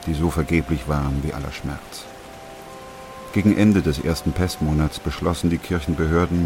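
An actor playing a sober, dutiful doctor who also narrates a radio drama, in a measured and restrained manner. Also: male voice, a bass voice, noisy background. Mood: grave narration. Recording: music behind the voice. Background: music.

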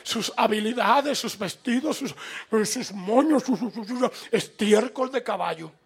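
A man's voice: very high-pitched, animated, unhurried, husky. A man preaching a fervent sermon as a radio preacher. He stresses points by repeating them and calls on listeners to respond. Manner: fervent and emphatic, with repetition and rising exhortation. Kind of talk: evangelical sermon.

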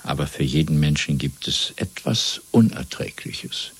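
An actor playing a menacing, controlled state interrogator in a radio play, speaking in a deliberate, clinical manner with a rough, husky voice. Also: male voice, rumbling low, animated, heavily acted. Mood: coldly menacing. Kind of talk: 1970s German radio play acting.

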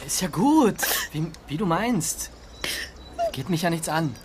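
Earnest, mild young man, animated light voice, hesitant radio-play delivery, faint noise. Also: fairly high pitch, unhurried. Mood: placating. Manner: hesitant, concerned, conciliatory. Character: earnest, mild-mannered young male writer and companion. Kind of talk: radio drama acting.

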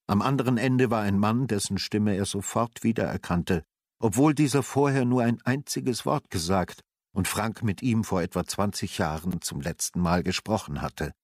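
An actor as the narrator of a melancholy detective radio drama, telling the story in a measured, sober way. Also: male voice, on the low side, no echo, clean studio sound.

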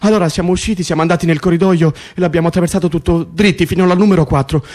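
An actor playing an excitable, wry political prisoner in an Italian radio drama, stammering, repeating himself and swearing. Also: male voice, high-pitched, very fast, natural voice. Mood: agitated. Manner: breathless repetitions, stammering, swearing. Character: excitable male political prisoner, agitated, wry, foul-mouthed. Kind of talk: Italian radio drama acting, conversational and intense.

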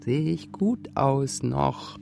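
An ageing, weary lover in a radio play. She drags out short, blunt lines with a creaky edge and longing, over clear background music. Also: very deep voice, animated, drawn-out words, heavily acted. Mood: weary, wistful. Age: older. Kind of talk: radio drama acting.

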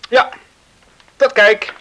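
A man's voice: extremely slow, pushed and bright, no room sound, low-quality recording. An actor playing a restless young man in a Dutch radio play, stammering a little when challenged. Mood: fond. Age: young adult. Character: restless young man craving freedom, talks to his dog.

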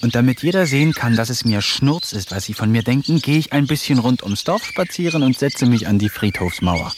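A man narrating diary entries in a radio play, calm, measured and wry, sometimes dropping to a hushed whisper. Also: mid-range voice, very noisy. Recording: studio radio play with faint music.

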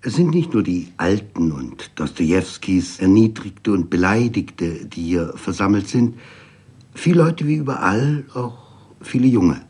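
A man's voice: on the low side, sing-song, unhurried, a little mellow, breathy edge. A man giving a dramatic reading of literary prose as a narrator. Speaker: reader of literary texts.

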